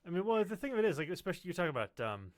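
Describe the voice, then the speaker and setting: Animated man bantering, dry close sound. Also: high-pitched, very fast, no room sound. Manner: joking, wisecracking. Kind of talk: casual banter.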